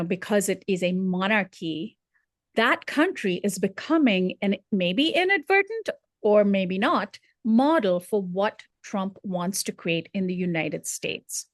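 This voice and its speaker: A woman who grew up in Dubai talks through an issue in an earnest, thoughtful way, often pausing on fillers and restarting her sentences. Her voice sits in a middle pitch. The sound is dry and close, with no room around it. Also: dry recording. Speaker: senior correspondent. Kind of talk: conversational commentary.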